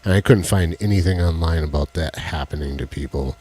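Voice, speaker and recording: Low, husky male streamer who rambles and jokes while tinkering with gear. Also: brisk, a little mellow. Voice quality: deep voice. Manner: rambling, jokey, fiddles with tech while talking. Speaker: co-host of a live stream show. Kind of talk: casual live-stream chat. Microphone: headset with headphones.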